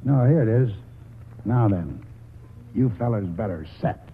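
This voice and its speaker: A man's voice: lowish voice, expressive, measured pace, a little hiss or hum. An older American man acting a stubborn, wily country grandfather in a radio drama, with folksy, rambling speech. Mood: flustered, folksy. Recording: muffled, husky-sounding vintage radio broadcast.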